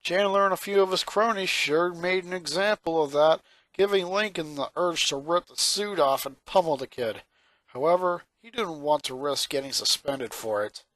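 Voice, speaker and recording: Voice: medium pitch, moderate pace, fairly bright tone, no echo. A narrator reading a fan-fiction story aloud in a scripted storytelling style.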